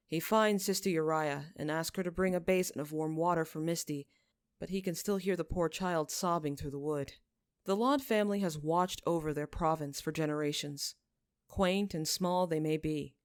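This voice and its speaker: A woman narrating scripted fiction in a measured, solemn manner. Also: deep voice, a little mellow, clear, resonant tone, no room sound, studio quality. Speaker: podfic narrator.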